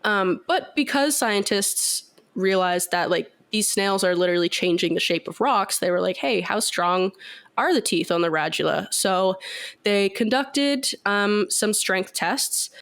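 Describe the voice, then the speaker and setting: A woman's voice: mid-range voice, animated, measured pace, fairly bright tone. A woman with a cold sounding congested, chatting on a podcast as she explains a science fact with lots of fillers. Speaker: podcast co-host.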